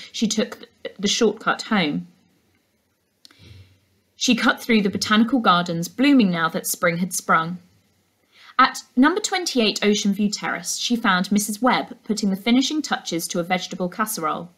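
A young woman narrates a storybook as a teacher would to a class. Her mid-pitched voice rises and falls widely, with plenty of expression. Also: fairly bright tone. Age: young adult. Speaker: teacher reading aloud to a class. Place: indoors, living room.

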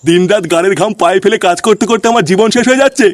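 A man's voice: high voice, racing, natural voice. A man voicing a hot-tempered, foul-mouthed ageing father in a comedy cartoon. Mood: angry scolding. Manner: scolding, profane. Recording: clearly noisy track.

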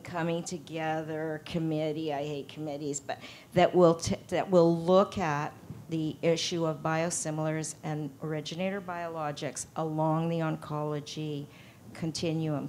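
Brief: woman, deep voice, wry and rambling, faint rumbling noise behind. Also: darkish tone. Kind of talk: audience floor comment.

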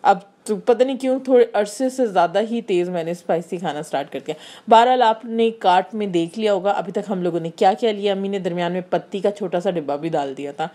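Mellow-voiced Pakistani woman vlogger. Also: mid-range voice, quick pace, few pauses. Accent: Karachi Urdu. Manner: chatty, explains each item, frequent fillers. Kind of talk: casual grocery haul voice-over.

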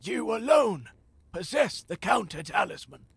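An actor playing an old, grave and urgent male seer in a fantasy audio drama, with a raspy voice and a portentous, archaic delivery. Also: sits high, very animated, unhurried, fairly bright tone, no echo, heavily acted. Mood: urgent, foreboding. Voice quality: raspy, creaky.

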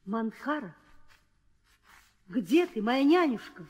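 A woman's voice: fairly high pitch, sing-song, slow pace, darkish tone, slightly rough, heavily acted. An actress playing a haughty, commanding queen in an old theatrical audio drama, with declamatory delivery. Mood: imperious. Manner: imperious, declamatory. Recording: old radio play, hall reverb.

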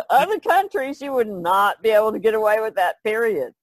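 A woman's voice: fairly high pitch, sing-song, moderate pace. A woman in a casual podcast discussion, plain-spoken and colloquial in the way she talks. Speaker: podcast co-host.

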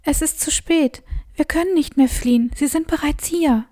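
A woman's voice: sits high, normal speed, dark tone, natural voice. An actress playing a frightened middle-aged mother in a fantasy audio drama, pleading fearfully and breathily for her family. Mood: despairing.

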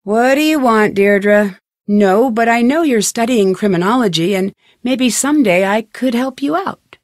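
Casting: a determined, curious young woman detective in a mystery game; higher-pitched voice, clear diction, very dry recording. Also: expressive, measured pace, no room sound. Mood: inquisitive. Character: young female amateur detective, inquisitive and determined. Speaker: voice actress in a detective adventure game. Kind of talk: scripted video game dialogue.

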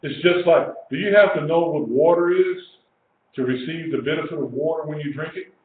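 Male religious teacher, 60s, emphatic and repetitive, medium pitch, echoey small room, phone-like sound. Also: fairly quick. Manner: emphatic, repeats phrases for emphasis. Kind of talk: informal sermon, teaching to a small group. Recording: echoey, tinny lo-fi webcam stream with hiss.

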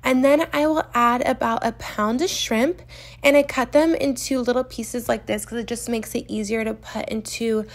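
A young woman with a fairly high voice talks through her cooking as a vlogger. She gushes and fills her sentences with "like." Her tone is easy and chatty. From US East Coast. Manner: chatty and gushing, heavy use of "like". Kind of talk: casual meal prep voice-over. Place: home kitchen.

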